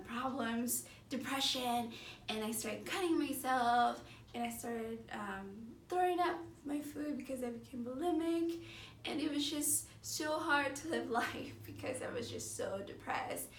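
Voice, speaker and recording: A woman in her early thirties speaks in a fairly high voice, telling her own story earnestly and conversationally. She leans on intensifiers for emphasis. The sound is clearly echoey and a little far from the mic, with faint noise. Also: a bit bright. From born in Mexico City, grew up in the San Francisco Bay Area. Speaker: patient giving a testimonial. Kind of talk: personal testimonial, unscripted and conversational.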